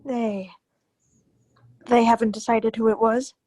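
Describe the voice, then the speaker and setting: Woman playing high-voiced, anxious, secretive wife. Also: level tone, takes it slowly, a little mellow, dry recording. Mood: uneasy, hesitant. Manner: hesitant, breaking off mid-sentence. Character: anxious, secretive politician's wife in a crime melodrama. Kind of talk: old-time radio drama acting.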